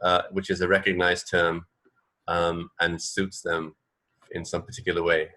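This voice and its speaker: A man from England co-hosting a radio show in casual chat, thinking aloud in a halting way. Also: deep voice, level tone, bright, forceful tone. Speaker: radio co-host. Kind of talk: casual chat about fun facts.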